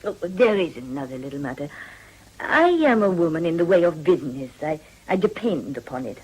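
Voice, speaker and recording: Give the part to a shrewd madam of a house in a period radio drama. Her voice is low and husky. She speaks deliberately, with genteel, insinuating wheedling and a hard head for business. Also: very animated, a little mellow, heavily acted, low-quality recording. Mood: businesslike.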